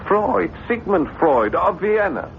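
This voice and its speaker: An actor playing an agitated, obsessed French writer in a 1970s radio drama, intense, theatrical and argumentative. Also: male voice, high-pitched, sing-song, slow, vocal fry, exaggerated voice. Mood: fervent, insistent. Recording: muffled, noisy old radio broadcast recording.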